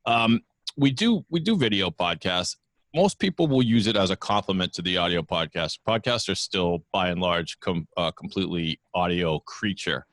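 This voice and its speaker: A man's voice: low-pitched, moderate pace, a bit bright, no echo. A man talking casually as a guest on a video call from his studio microphone, chatty and self-deprecating. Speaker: podcast network founder, guest. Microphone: studio microphone with headphones.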